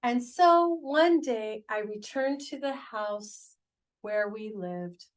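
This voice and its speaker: A woman who hosts a children's storytime, reading a picture book aloud in an expressive, animated way. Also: fairly high pitch. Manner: animated, unhurried delivery. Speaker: children's storytime host, reader.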